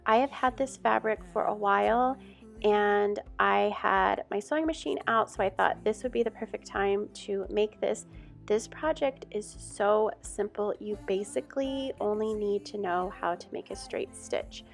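A woman's voice: mid-range voice, measured pace, gravelly, soft background music. A woman in her thirties chatting casually as she explains a home sewing and cleaning routine step by step. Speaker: home vlogger. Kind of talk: casual tutorial voice-over.

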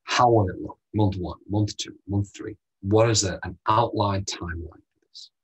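A man in his thirties presenting a funding webinar over slides from a small room on a video call, direct and brisk, firing off rhetorical questions with frequent ums. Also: on the low side, slightly rough, poor audio.

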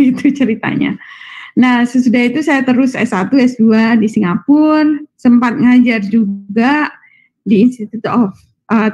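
A woman's voice: on the high side, a little mellow. A woman with an Indonesian accent giving an informal, somewhat hesitant lecture over a video call. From Malang, Indonesia. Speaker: guest lecturer, university professor. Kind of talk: informal, improvised online lecture. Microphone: video call microphone. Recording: slightly dull video call audio.

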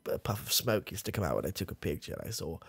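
A young man voicing a confused, rambling old grandfather with a gravelly, husky voice, in a comedy ASMR roleplay recorded close in a small dark room. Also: low-pitched, big pitch swings, heavily acted. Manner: rambling, halting, trailing off, chuckling. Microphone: close studio mic, camera near face.

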